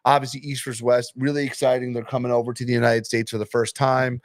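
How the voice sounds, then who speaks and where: A young man hosting a casual podcast, hyping up matches and sponsors with excitable energy. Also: medium pitch, fast talker, no room sound, clean studio sound. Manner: hyped, excitable, promotional. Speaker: podcast host and arm wrestling promoter.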